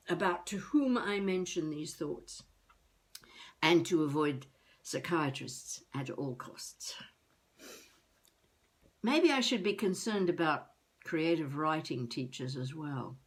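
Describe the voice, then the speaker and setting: Slow-talking, wry older woman. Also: low voice, animated, heavily acted. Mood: wry musing. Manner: musing, halting, talking to herself. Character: elderly wannabe novelist woman, wry, rambling, self-mocking. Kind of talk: confessional monologue as a video diary.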